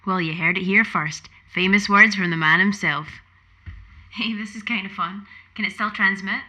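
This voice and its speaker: Bold, bright-voiced young poet. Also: female voice, mid-range voice, steady tone, moderate pace. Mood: playful. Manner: wry, direct. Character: bold young female poet interviewer, teasing, challenging. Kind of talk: audio play dialogue.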